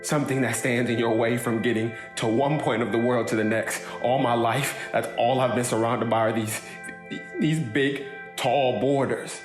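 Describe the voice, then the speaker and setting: An actor playing a grieving, earnest younger brother in an audio drama monologue, speaking haltingly. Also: male voice, mid-range voice, steady tone, lightly acted. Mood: frustrated. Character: grieving kid brother giving a heartfelt eulogy. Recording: roomy echo, music underneath.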